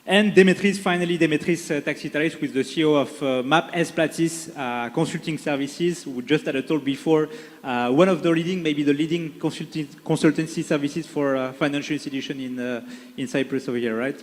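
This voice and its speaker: A man opens a conference panel, upbeat and halting, with frequent fillers. His voice sits a little high. It rings out with the long reverb of a large hall. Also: fairly even intonation. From France. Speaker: panel moderator.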